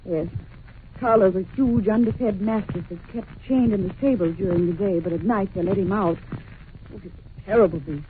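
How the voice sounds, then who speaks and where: A woman's voice: medium pitch, normal speed, lightly acted. A noisy, narrow-band vintage radio recording carries an actress as a young governess. She is well-mannered but anxious and curious, and speaks with earnest, theatrical expression. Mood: earnest, uneasy. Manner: polite, earnest, expressive storytelling. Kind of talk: old-time radio drama acting.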